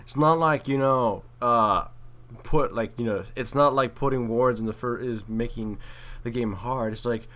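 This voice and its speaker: A man's voice: mid-range voice, measured pace, a bit bright. A man giving casual, wry game commentary with some mild swearing and trash talk. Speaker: gaming video commentator and player. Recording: clearly noisy home recording.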